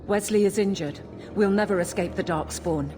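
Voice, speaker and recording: A woman playing a fierce, stubborn, protective wife in a fantasy game, forceful and anguished. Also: mid-range voice, normal speed, natural voice. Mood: urgent, determined. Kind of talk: fantasy video game acting. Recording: music behind the voice.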